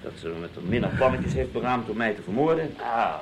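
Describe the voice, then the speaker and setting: A man's voice: medium pitch, lively intonation, fairly quick, heavy background noise, lightly acted. A man playing a sarcastic, cynical husband in an audio drama, talking down to his wife with condescending endearments. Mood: sneering.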